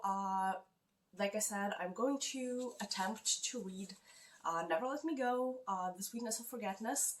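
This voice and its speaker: A woman with a non-native English accent chatting casually about books she plans to read, pausing often with fillers. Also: medium pitch, recorded from a distance, rough recording. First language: not English. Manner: hesitant, many fillers. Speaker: book vlogger. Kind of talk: casual chat. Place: lives in Canada.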